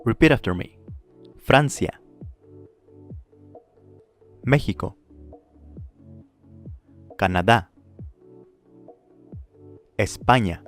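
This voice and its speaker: A man with a fairly low voice leads a Spanish lesson, saying each phrase carefully and pausing so the learner can repeat. Faint background music plays under his voice. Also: animated. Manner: clear, slow model pronunciation with pauses to repeat. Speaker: Spanish teacher. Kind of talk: language lesson with drills.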